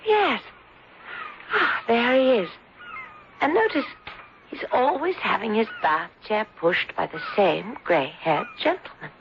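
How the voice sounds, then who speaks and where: A fairly high voice with a creaky edge, a frail and melancholy young English lady. She speaks delicately and politely, in old radio drama style. Clear noise sits behind her. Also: animated, takes it slowly. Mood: wistful unease. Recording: noisy dull old radio broadcast.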